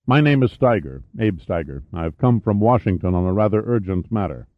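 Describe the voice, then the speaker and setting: A man's voice: fairly low pitch, animated, fairly quick, natural voice. A man voicing a courteous, serious military colonel in an audiobook, formal and understated. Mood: polite. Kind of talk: audiobook character dialogue. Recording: dull, roomy, echoey sound.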